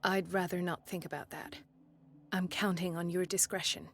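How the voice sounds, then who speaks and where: An actress playing a composed, caring and determined hospital doctor in a video game, with a measured clinical delivery. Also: female voice, fairly low pitch, steady tone, faint music behind, lightly acted. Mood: guarded, resolute. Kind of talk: video game cutscene acting.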